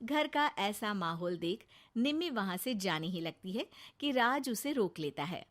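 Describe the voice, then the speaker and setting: A woman narrating a children's cartoon story in a lively, expressive way. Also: mid-range voice, a little slow.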